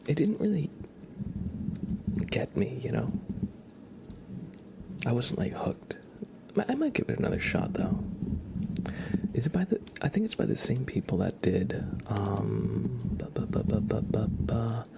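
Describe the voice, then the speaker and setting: A man hosting an ASMR livestream, chatting casually and unhurriedly in a soft, gentle murmur that drifts into whispers. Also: mid-range voice, lively intonation, a little slow, long pauses, very noisy. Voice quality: soft, breathy half-whisper. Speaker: livestream host reading chat.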